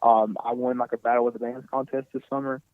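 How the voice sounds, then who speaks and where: A man in his twenties, a singer being interviewed, talking casually and softly with many fillers and restarts. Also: mid-range voice, little pitch movement, fast, no echo. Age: 20s. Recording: tinny phone-like sound, dry.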